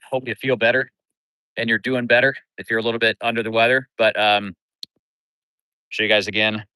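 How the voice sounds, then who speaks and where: A man's voice: lowish voice, moderate pace, pauses often, clean tone, no room sound, low-quality recording. A middle-aged man from Kansas City with a Midwestern accent, chatting casually with viewers on a livestream from beside a river outdoors. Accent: Midwestern American. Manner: relaxed, rambling, greets viewers by name. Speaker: livestream host and fishing coach.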